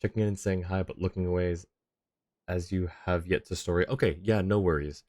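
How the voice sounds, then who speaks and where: Male streamer, very deep voice, dry close sound, casual chat with joking asides, music behind. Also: steady tone, a little fast, darkish tone, no room sound. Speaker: livestream host. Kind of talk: casual chat reacting to a game. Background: game music.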